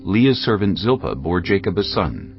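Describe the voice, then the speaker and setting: A man's voice: deep voice, very animated, moderate pace, gravelly, highs cut off. A man narrating a scripted audio Bible. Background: music.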